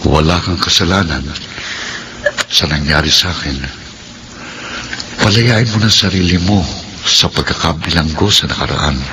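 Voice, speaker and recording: An actor playing a dead husband who appears in a dream in a radio drama, calm and reassuring. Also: male voice, low-pitched, normal speed, long pauses, close to an everyday voice. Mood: gentle. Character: dead young husband in a dream, gentle and loving. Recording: hissy radio drama recording.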